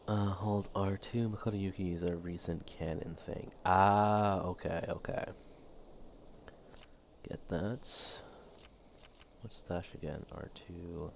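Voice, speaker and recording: Brief: male game streamer, very deep voice, rambling with fillers, voice going hoarse. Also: a little hiss or hum. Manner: rambling, thinking aloud, many fillers. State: losing his voice. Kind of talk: casual live game commentary.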